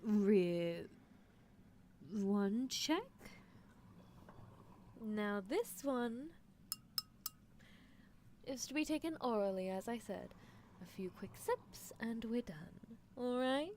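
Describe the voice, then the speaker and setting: A woman's voice: on the high side, expressive, extremely slow, long pauses, warm tone. A woman voicing a gleefully unethical horse-girl scientist in an ASMR roleplay, musing in a coolly clinical, teasing manner. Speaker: streamer performing character roleplay. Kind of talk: ASMR roleplay, improvised character monologue. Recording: clear background noise.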